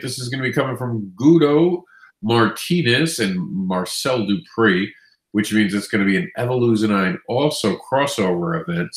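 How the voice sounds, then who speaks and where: Congested nasal man in an echoey room. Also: lowish voice. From Queens, New York. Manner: chatty, upbeat, self-correcting. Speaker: comics news host. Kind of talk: casual news roundup.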